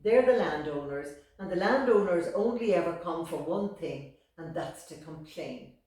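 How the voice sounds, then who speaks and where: A woman's voice: fairly low pitch, expressive, moderate pace, a little mellow, lightly acted. Woman acting a weary mother in an echoey room. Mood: grumbling. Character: weary village mother, dismissive, bossy and suspicious. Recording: echoey room, distant microphone.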